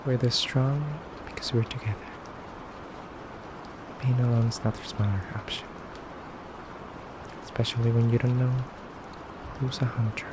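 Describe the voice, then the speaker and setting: A man playing a stern but courteous femboy vampire interrogator in a soft, deliberate ASMR roleplay, often near a whisper. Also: medium pitch, fairly even intonation, background noise, natural voice. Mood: stern warning.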